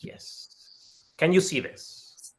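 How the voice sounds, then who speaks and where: A man's voice: very slow, a little mellow. A man from Ecuador giving an enthusiastic webinar presentation over a video call, often repeating words for emphasis. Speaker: guest speaker.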